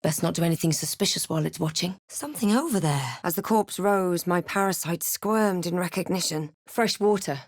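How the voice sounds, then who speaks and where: A woman playing a watchful, wry fantasy adventurer in a video game, recounting her journey in a measured storybook way with dry asides. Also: on the low side, animated, dry recording. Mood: alert, brooding. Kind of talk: video game character voice lines.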